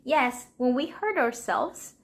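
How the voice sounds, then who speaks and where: A woman with a Colombian accent leads a relaxed Spanish lesson, her first language, explaining everyday expressions in English at an unhurried pace. Her voice sits a little high, and she playfully acts out short example scenes for her viewers. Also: gravelly. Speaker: Spanish teacher. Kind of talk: casual language lesson to camera.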